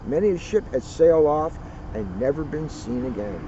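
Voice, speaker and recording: Guy reading a kids book outside, slightly high mellow voice, bit muffled w faint car noise. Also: lively intonation, normal speed. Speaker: police officer reading a children's book. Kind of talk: read-aloud storytelling with casual asides. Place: outdoors at a turkey farm. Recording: muffled outdoor recording, faint vehicle noise.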